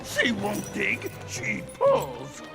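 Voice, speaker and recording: A man voicing a bossy, exasperated, dim-witted dog henchman with a gravelly voice, barking short blunt orders. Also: very high voice, wildly swooping pitch, extremely slow, loud music behind the voice, full character voice. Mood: bossy, impatient.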